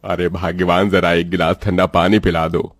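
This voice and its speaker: A man's voice: deep voice, little pitch movement, moderate pace, no room sound, soft background music. An actor playing a poor, earnest, weary working family man in a cartoon story. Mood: weary request. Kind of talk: cartoon moral story acting.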